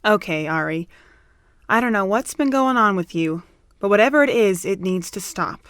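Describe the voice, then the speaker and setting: A woman's voice: mid-range voice, expressive, normal speed, a bit bright, smooth, no echo, studio quality, lightly acted. A young woman playing a chirpy, caring and nosy college student in an amateur audio drama. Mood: firm, encouraging.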